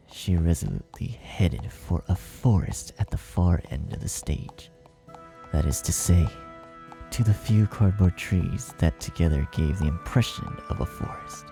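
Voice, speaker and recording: A man's voice: sits low, creaky, mellow. A man reading a fan fiction story aloud as its narrator in a scripted style.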